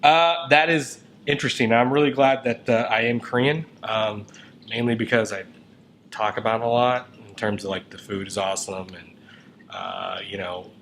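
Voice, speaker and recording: A man's voice: lowish voice, expressive, moderate pace, fairly bright tone. Casual man chatting, noisy background. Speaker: show co-host. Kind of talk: casual chat. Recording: noticeable background noise.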